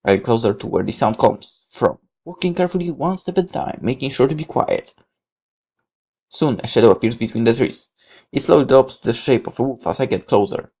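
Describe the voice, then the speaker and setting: Very low-voiced woman reading a game aloud. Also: expressive, brisk, darkish tone, breathy edge. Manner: irreverent, sarcastic asides. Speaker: let's player reading a visual novel aloud. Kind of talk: game playthrough, reading text aloud with offhand commentary.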